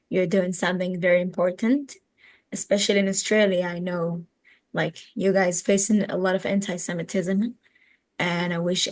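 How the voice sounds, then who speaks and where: A woman in her twenties from Israel with an Israeli accent, answering interview questions conversationally and often searching for words. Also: on the low side. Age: 20s. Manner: halting, searching for words in a second language. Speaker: interview guest, educator and social media activist.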